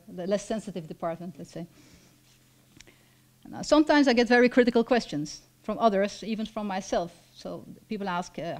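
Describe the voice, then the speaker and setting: A woman in her fifties from Belgium with a Flemish accent, a lecturer reading a personal talk from notes at a lectern, measured and reflective, with frequent ums. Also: medium pitch, a little mellow. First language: Dutch. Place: lecture hall stage at a lectern. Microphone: stand mic at a lectern.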